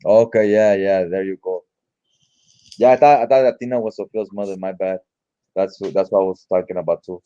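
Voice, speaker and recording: A man's voice: low voice, steady tone, brisk, dark tone, clean tone, lo-fi sound. A man giving casual read-along comic commentary in an informal, slangy, mildly profane way. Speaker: comic book reviewer.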